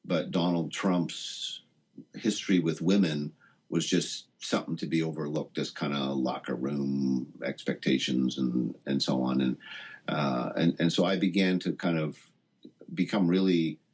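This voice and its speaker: A man being interviewed as a guest, speaking reflectively and haltingly, often rephrasing as he searches for words. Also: rumbling low, fairly even intonation, a little mellow, husky. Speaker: interview guest, writer of a satire piece.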